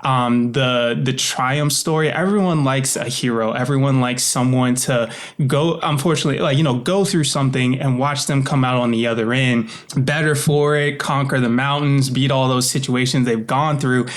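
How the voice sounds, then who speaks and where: A man with an American accent talking reflectively and casually as an interview guest, pausing to search for words. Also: mid-range voice, fairly even intonation. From United States. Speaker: interview guest, author and voice actor.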